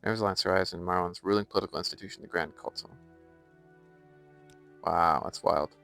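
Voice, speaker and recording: A man's voice: sits low, fairly even intonation, brisk, gravelly, pushed and bright, soft background music. A young American man chatting casually on a live stream while playing a game and reading its lore aloud. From United States.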